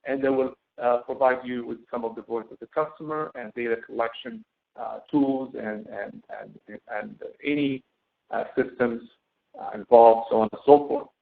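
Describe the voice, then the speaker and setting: Gravelly, halting male instructor on thin audio. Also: medium pitch. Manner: halting, hesitant delivery with repeated words. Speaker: course instructor. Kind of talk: informal screen-share tutorial for students. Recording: thin, phone-like narrowband audio.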